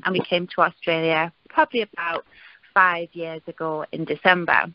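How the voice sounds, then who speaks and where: Middle-aged Geordie woman, chatty testimonial caller, lowish voice, dry and muffled call line. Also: level tone, normal speed, noisy background. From northeast England. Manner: chatty, many "um" fillers, upbeat. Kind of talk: casual phone testimony. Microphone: conference call line. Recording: dull lo-fi call audio.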